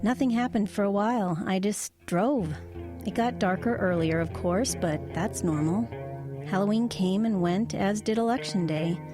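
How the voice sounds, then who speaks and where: An actress playing a wry, low-key woman driver who tells of eerie happenings in a conversational, hesitant way in an audio drama. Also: moderate pace, over music, close to an everyday voice. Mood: reflective. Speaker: lead actress and narrator. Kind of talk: audio drama narration and dialogue.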